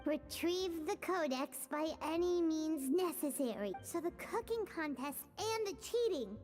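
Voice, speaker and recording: Tiny childlike game fairy, very high squeaky voice, chatty, easily exasperated, clear background music. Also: female voice, expressive, measured pace, a little mellow. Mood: confused, overwhelmed. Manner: squeaky, talks of self in third person. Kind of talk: video game voice acting.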